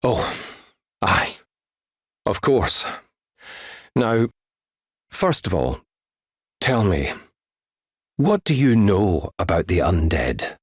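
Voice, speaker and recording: A homely Scottish wizard in a game, low and raspy. He explains things earnestly and with some weariness, in a close, dry recording. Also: big pitch swings, no room sound, heavily acted. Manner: explanatory, measured, folksy. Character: earnest, weary male wizard, homely mentor figure. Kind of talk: video game cutscene acting.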